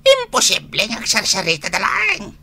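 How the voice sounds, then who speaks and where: An actress playing a gossipy, insinuating underling in an Ilocano radio drama, with an animated way of talking. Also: female voice, mid-range voice, normal speed, heavily acted. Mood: teasing.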